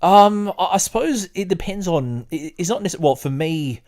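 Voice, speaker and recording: A man hosting a podcast, moving between halting, digressive casual talk and reading aloud. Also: high-pitched, animated, darkish tone. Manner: hesitant, halting, digressive when talking. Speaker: podcast host.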